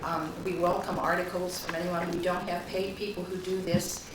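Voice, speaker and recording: Woman, hoarse from a cold, informative public talk, echoey distant recording, noisy. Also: on the low side, level tone, moderate pace. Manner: informative, with frequent ums. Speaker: county office director. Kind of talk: public presentation at a meeting. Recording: echoey room, distant mic, background noise.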